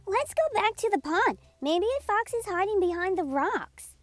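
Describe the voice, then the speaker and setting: A woman voicing an eager, playful young storybook dog in an excitable, childlike way. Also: very high voice, normal speed, no room sound, faint music behind, pitched above the performer's own voice. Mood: curious, excited.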